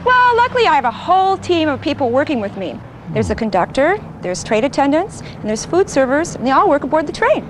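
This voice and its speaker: A woman's voice: sits high, very animated, brisk. A middle-aged woman playing a helpful train crew chief with an American accent, explaining things to children in an educational film. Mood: helpful, instructive. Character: helpful female chief of train onboard services. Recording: train noise behind the voice.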